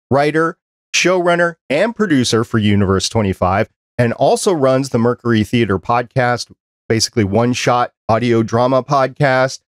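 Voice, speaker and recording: Male podcast host, fifties, conversational interviewer, mid-pitched, dry close-mic sound. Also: no room sound. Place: small home office, indoors. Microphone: close desk microphone on a video call.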